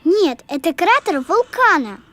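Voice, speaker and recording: A woman's voice: very high voice, sing-song, a little slow, a bit bright, raised voice, a little hiss or hum, exaggerated voice. A woman voicing a small, patient know-it-all child in an educational cartoon, correcting and explaining matter-of-factly. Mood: patiently explaining. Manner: instructive, correcting. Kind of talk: animated educational cartoon acting.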